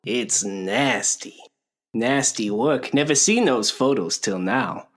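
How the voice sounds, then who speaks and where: A man's voice: mid-range voice, huge pitch swings, slow, gravelly, a bit bright, full character voice. A man playing a smirking, folksy, remorseless killer in a radio play, drawling and mocking as he savors his words. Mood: gleeful relish.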